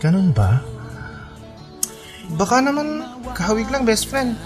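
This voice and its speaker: An actor playing an expressive, lovestruck young man in a radio drama, engaged and playful with his best friend. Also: high-pitched, a little slow. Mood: doubtful. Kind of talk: radio drama acting with first-person narration. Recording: music behind the voice. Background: background music.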